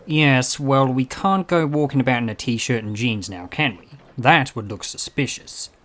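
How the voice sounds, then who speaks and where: Male actor as eccentric time-travelling adventurer, mid-pitched, jaunty, chatty and a touch fussy. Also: normal speed, a bit bright, natural voice. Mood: mildly fussy. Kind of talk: audio drama acting.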